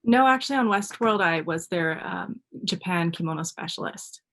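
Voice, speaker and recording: A woman's voice: lowish voice, expressive, moderate pace, a bit bright. A woman speaking eagerly and earnestly as a panel guest.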